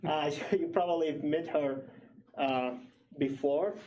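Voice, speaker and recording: A man's voice: on the high side, darkish tone. A man from Iraq, a PhD student in his thirties, gives a hesitant, explanatory research presentation at a public meeting. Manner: slow, hesitant, explanatory, filler-heavy.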